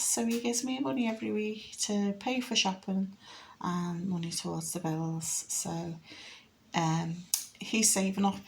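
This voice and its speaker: A woman in her fifties speaks with a Liverpool accent at a middling pitch. She chats in a casual, rambling, matter-of-fact way, as if showing someone round her house. There is faint noise behind her voice. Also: creaky. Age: 50s. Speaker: home renovation vlogger. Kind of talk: casual house tour chat. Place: inside a house.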